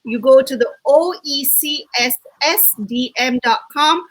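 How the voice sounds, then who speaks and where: A woman co-hosting an online summit on a video call, bubbly and quick to laugh, often correcting herself mid-sentence. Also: sits high, poor audio. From Jamaica. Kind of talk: enthusiastic webinar hosting.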